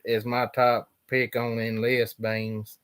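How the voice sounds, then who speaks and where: Farmer guy, drawly and laid back, kinda trails off, regular pitch. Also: little pitch movement, takes it slowly, fairly bright tone, smooth. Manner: drawling, plainspoken, trails off mid-sentence. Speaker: farmer hosting a livestream. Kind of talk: casual chat reading viewer questions.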